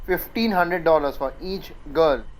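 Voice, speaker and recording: A young performer playing a smug, condescending male company lawyer in a student radio drama, measured and sardonic. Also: mid-range voice, close to an everyday voice, poor audio. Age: young adult.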